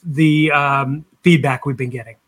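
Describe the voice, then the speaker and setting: A man's voice: medium pitch, fairly even intonation, a little slow, fairly bright tone, husky. A man speaking as an interview guest in a casual, enthusiastic and informal conversational style. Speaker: podcast guest, band singer.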